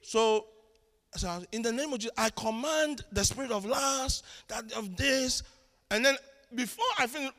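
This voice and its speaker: A Ghanaian man preaching in a large church, his voice high and bright with a forceful edge. He tells stories with self-mocking humor and swings between shouted lines and quiet asides. Long reverb from the hall trails after his words. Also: normal speed. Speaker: pastor. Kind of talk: sermon.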